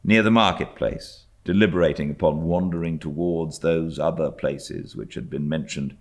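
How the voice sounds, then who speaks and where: A British man reading a classic novel as an audiobook narrator, in a measured, expressive storytelling manner. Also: a bass voice. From Britain. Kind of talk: scripted literary narration.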